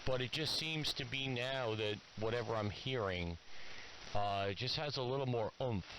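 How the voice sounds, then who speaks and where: A chatty man from New York is on an AM ham radio link with faint hiss. His voice sits at a middle pitch. He rambles along casually as one operator to another. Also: normal speed, a bit bright, rough recording. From New York, USA. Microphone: transmitted over AM shortwave radio. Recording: hissy AM shortwave radio transmission.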